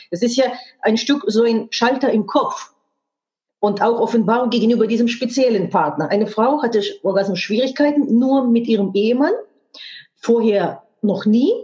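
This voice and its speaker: A woman's voice: a little high, a little mellow. Frank, muffled woman speaking a second language. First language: not German. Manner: frank, emphatic, with earthy expletives. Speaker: guest, sex educator and hypnotherapist. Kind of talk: candid podcast conversation. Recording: dull, muffled audio.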